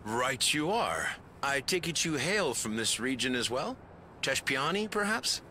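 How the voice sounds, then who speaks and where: An actor playing a humble, courteous village leader in a video game, polite and affable with a halting, musing delivery. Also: male voice, mid-range voice, sing-song, bright, forceful tone, slight background noise. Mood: warm, welcoming. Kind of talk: scripted video game cutscene acting. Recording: game music behind.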